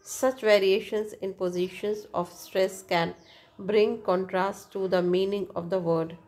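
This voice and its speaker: A woman's voice: mid-range voice, steady tone, darkish tone. A woman teacher reading out an English text and explaining it in a lecture, asking her students rhetorical questions along the way. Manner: reads English text slowly, then explains quickly in Hindi. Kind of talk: lecture explaining a textbook passage.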